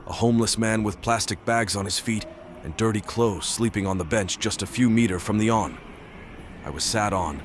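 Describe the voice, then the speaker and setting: Guy acting a creepy stalker narrating his night, low detached voice, deliberate, noisy ambience behind him. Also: lightly acted. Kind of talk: scripted first-person narration, audio drama.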